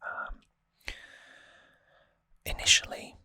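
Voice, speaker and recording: Asmr host whispering a recap, breathy n bright, super close mic, casual explaining. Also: very slow, no echo. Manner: soft, informal explaining. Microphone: close microphone, dry sound.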